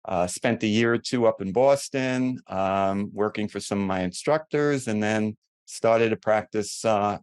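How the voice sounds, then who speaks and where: A man's voice: lowish voice, unhurried, clear, resonant tone, no room sound, studio quality. A man in his seventies with a New York accent, chatting casually and rambling a little as a podcast guest. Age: 70s. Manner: chatty, rambling, self-deprecating humor. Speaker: guest orthodontist.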